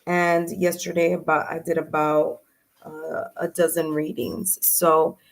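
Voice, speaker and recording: A woman with a slightly low, hoarse and raspy voice hosts a live tarot stream. She chats in a welcoming way, talking straight to her viewers and filling pauses with frequent ums and uhs. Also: little pitch movement. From New York. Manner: chatty, welcoming, with frequent fillers. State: hoarse, raspy from early morning and much talking.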